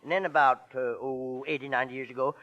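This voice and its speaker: A man's voice: medium pitch, steady tone, fast, sharp tone, close to an everyday voice. A male actor playing a talkative, trusting small-town husband with a rural American accent, rambling folksily in a 1940s radio play. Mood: folksy storytelling. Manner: folksy, rambling, eager storyteller. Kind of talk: 1940s radio drama acting. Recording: muffled vintage 1940s radio recording.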